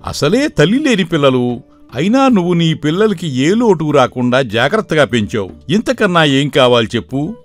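A man playing a kindly elderly village uncle who consoles and advises in an animated moral story. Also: on the high side, moderate pace. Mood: consoling. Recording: background music throughout.

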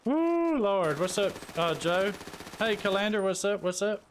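A man's voice: high voice, sing-song, a little slow. A man chatting casually and saltily as he streams a video game. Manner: folksy, salty-tongued. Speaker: live-streaming gamer. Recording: faint game gunfire noise. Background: video game gunfire.

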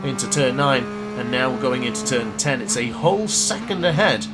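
A young man with a cold, brisk and congested, commentating a motorbike race in a game. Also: medium pitch. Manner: brisk commentator-style narration, self-correcting. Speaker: gaming commentator. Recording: loud game music behind.

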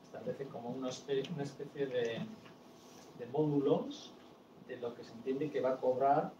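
A man speaking on a panel in a small event room, explaining step by step and pausing on fillers as he goes. He is picked up from a distance, and the room echoes around him with steady background noise. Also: medium pitch, highs cut off. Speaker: panelist answering on audiovisual grants. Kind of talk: panel discussion answers. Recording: roomy, distant, noisy room sound.

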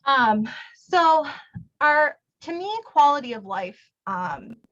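A female council candidate giving an earnest, informal answer at a forum, repeating phrases for emphasis. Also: a little high. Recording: dull, lo-fi forum recording.

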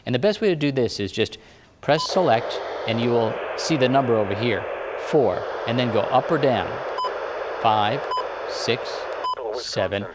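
A man working as a TV meteorologist, calmly explaining a device one step at a time. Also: medium pitch, a little slow, big-room sound, heavy background noise. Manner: plain, patient instructive talk. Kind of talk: step-by-step demonstration. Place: TV studio weather set.

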